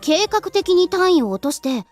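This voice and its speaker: Chatty, knowing anime schoolgirl, very high-pitched and expressive, gossipy visual novel delivery. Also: fast talker, faint music behind. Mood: gossipy, explaining. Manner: animated, gossipy. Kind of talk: Japanese visual novel voice acting.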